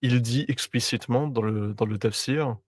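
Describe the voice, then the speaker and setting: A man in a casual discussion, speaking in a measured way with fillers and hesitations. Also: mid-range voice, no room sound, studio quality. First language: not Arabic.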